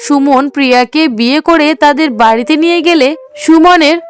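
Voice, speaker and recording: A woman's voice: high-pitched, a little fast. A woman narrating a scripted cartoon story.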